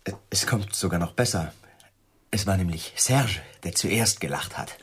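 A man playing a conciliatory, evasive friend in a radio play, hesitant and eager to smooth things over. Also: low-pitched, expressive, normal speed, a little hiss or hum. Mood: defensive, evasive. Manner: hesitant, placating.

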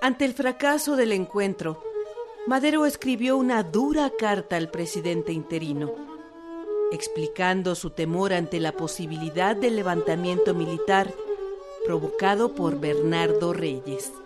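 A woman narrates a scripted historical radio drama. Her voice is on the low side, and bowed string music plays loudly behind her. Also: unhurried.

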